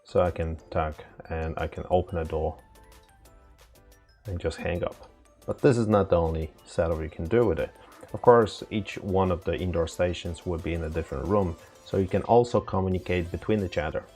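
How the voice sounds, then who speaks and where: Deep, gravelly man explaining step by step. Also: normal speed, dark tone, faint music behind. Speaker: technical instructor. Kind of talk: unscripted step-by-step software tutorial.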